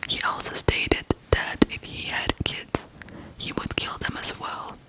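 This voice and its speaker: An ASMR host from Ukraine telling true crime stories in a breathy whisper, in deliberate phrases with pauses. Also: poor audio.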